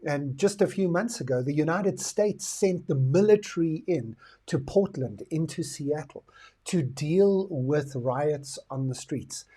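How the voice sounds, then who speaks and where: A man's voice: fairly high pitch, expressive, a little slow, mellow, husky. A middle-aged South African man hosting a talk, explaining his views in a reflective way. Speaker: host. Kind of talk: talk to camera on current affairs and the future.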